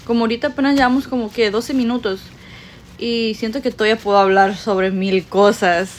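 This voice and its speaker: A woman's voice: fairly high pitch, steady tone, fairly quick, a little hiss or hum. A young woman from Jalisco, Mexico, with a Guadalajara accent, co-hosting a casual lunch-break podcast in a chatty, informal way. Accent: Mexican Spanish from Guadalajara. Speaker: podcast co-host. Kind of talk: casual chat. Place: kitchen break room.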